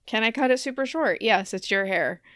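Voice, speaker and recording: A woman's voice: a little high, normal speed, a bit bright, no room sound, studio quality. A woman, a podcast co-host, chatting casually and playfully and often laughing as she talks. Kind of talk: casual banter between co-hosts.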